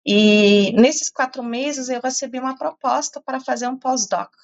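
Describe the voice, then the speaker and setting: A woman's voice: high-pitched, moderate pace, dull audio. German-born woman with European Portuguese accent. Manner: thoughtful, with many fillers and restarts. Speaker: climate science professor. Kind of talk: interview answers.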